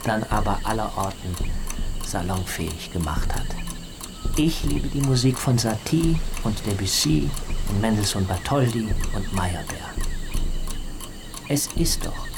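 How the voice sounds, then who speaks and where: Indignant idealist man, low register, formal and emphatic radio monologue over clear ambient noise. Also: animated, a little slow. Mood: indignant, earnest. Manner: formal, emphatic, long-winded. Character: earnest, indignant idealist man, privately disapproving.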